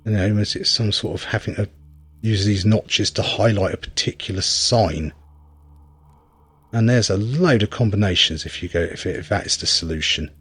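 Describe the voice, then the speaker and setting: Male gameplay commentator, slightly deep and husky, dry humour, loose off-the-cuff delivery. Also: moderate pace, a bit bright, no echo. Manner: wry, rambling, thinking aloud. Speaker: game reviewer and player.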